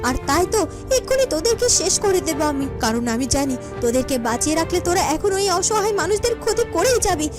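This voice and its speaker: A woman's voice: extremely high pitch, animated, quick pace, music in the background, voice pushed down. A woman voicing a righteous shapeshifting snake woman in a fairy-tale cartoon, dramatic and emphatic. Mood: stern, vengeful.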